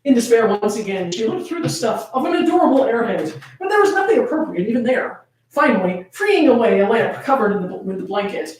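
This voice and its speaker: Echoey, animated woman reading a story aloud. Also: on the high side, a little fast. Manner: theatrical, often breaking into laughter. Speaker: fan fiction reader. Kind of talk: dramatic, comedic story reading. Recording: very echoey room, far from mic.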